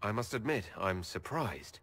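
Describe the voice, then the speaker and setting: An actor playing a calm, earnest male elf leader in video game dialogue, measured and dryly understated. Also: on the low side, big-room sound. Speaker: player character leader.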